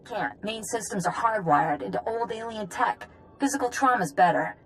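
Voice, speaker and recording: A woman playing a practical tech specialist in a game cutscene, brisk, clipped and matter-of-fact. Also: medium pitch, animated, slight background noise, lightly acted. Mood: businesslike urgency.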